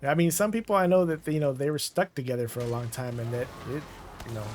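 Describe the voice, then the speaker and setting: A man's voice: medium pitch, fast talker, a little mellow, slight background noise. A man in his thirties, a streamer in casual chat while gaming, laid-back, laughing often and trailing off mid-sentence.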